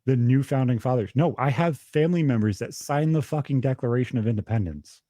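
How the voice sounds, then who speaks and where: A man's voice: mid-range voice, fairly quick, warm tone, no echo, clean studio sound. A young man co-hosting a podcast over a remote call, talking bluntly and swearing a lot. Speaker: podcast co-host. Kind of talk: casual political chat over a call. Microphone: remote call with dropouts.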